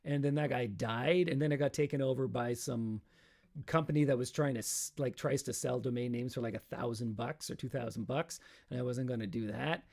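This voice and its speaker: A middle-aged man with a raspy, practice-tired voice, hosting a live stream and chatting in a rambling, self-deprecating way between songs. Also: medium pitch, brisk, darkish tone.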